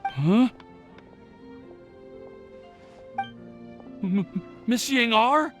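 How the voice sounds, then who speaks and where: A man's voice: very high-pitched, animated, slow pace, fairly bright tone, soft background music, exaggerated voice. A man playing a nervous, earnest, lovestruck young man in a video game, stammering and hesitant. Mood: flustered.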